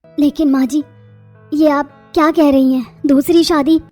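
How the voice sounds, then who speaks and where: A woman voicing a timid, mistreated young daughter-in-law in an animated drama, speaking meekly and pleading. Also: sits high, a little slow, faint music behind. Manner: meek, pleading, soft-spoken.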